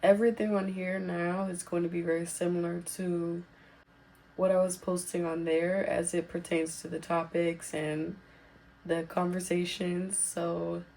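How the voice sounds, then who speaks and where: Slow-paced woman, slightly raspy voice. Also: fairly low pitch, little pitch movement, darkish tone, rough recording. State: voice raspy while recovering from being sick. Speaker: podcast host. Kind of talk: casual chatty solo girl talk.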